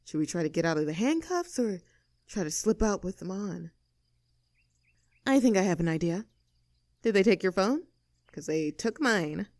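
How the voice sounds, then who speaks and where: A woman's voice: mid-range voice, big pitch swings, normal speed, pauses often, a little mellow. A woman voices a sassy young female troublemaker in an audio roleplay, with a mid-range voice. She is bossy and teasing, sure of herself, and speaks right to the listener in a very dry, echo-free recording. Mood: snarky, scheming. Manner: snarky, bossy, teasing, self-assured. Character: sassy rebellious mean girl, cocky young troublemaker.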